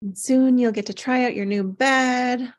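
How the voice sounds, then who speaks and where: A woman's voice: on the high side, steady tone, unhurried. A woman hosting an online table read, chatting casually. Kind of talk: casual chat.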